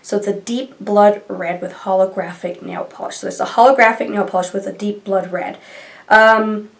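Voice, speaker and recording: A woman's voice: medium pitch, fairly even intonation, normal speed, gravelly. A woman in her thirties with a stuffy nose from allergies, chatting casually and rambling as she reviews products in a small room at home. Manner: chatty and rambling, gushing over colors. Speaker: beauty vlogger. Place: small bedroom filming nook, indoors.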